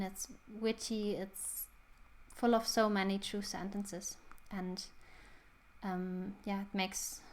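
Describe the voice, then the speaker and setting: A young woman, thoughtfully hosting a casual book review. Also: medium pitch. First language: German. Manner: thoughtful, reflective. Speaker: book channel host.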